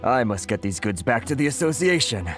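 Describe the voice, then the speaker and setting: Grateful trader for a game: middle voice, lively intonation, a little halting. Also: male voice, mid-range voice, soft background music. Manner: earnest, hesitant, thankful. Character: grateful humble male merchant, earnest. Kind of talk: video game dialogue.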